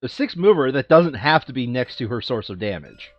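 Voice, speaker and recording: A man's voice: medium pitch, big pitch swings, brisk, no room sound, muffled recording. A man chatting casually as a player planning a board game session, thinking aloud and repeating his phrases.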